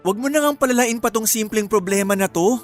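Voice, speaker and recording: A man's voice: high-pitched, fairly quick, natural voice. An actor, a man, playing a flustered young cheating boyfriend who pleads and fumbles excuses in a radio drama. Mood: defensive. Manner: whining, stammering excuses. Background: faint background music.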